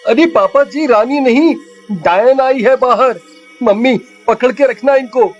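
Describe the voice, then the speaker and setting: A man's voice: very high-pitched, unhurried, highs cut off, soft background music. A man voicing a cheeky, clever young village boy in a cartoon story, talking in an eager, pleading, childlike way. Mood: alarmed.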